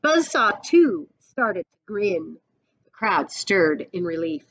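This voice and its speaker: A middle-aged woman reading a picture book aloud expressively, with dramatic pauses and explanatory asides. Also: medium pitch, big pitch swings, a bit bright.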